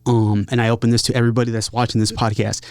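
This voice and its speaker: A man speaking casually and openly as an interview guest, earnest, in short halting phrases. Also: mid-range voice, level tone, darkish tone, clean tone, dry recording. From San Diego area, United States. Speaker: podcast guest, musician. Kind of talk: casual, candid interview talk.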